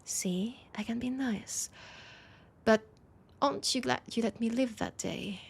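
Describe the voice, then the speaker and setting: A woman with a French accent playing a teasing, nostalgic, centuries-old vampire in an intimate audio roleplay, her breathy voice often dropping to a whisper. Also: a little high, measured pace, exaggerated voice. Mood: playful, tender. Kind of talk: intimate audio roleplay, one-sided dialogue.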